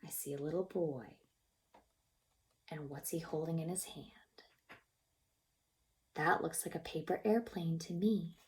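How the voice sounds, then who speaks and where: A woman reading a picture book aloud to young children as a childcare teacher, expressive and gently encouraging, prompting her listeners with questions and often dropping into a near-whisper. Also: sits low, unhurried, pauses often, slight background noise.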